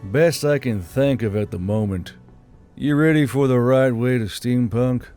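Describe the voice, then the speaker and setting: A man's voice: medium pitch, unhurried, lightly acted. A man voicing an exhausted, terse and sardonic military commander in a fantasy audiobook. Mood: dry. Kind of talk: audiobook character voice. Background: soft background music.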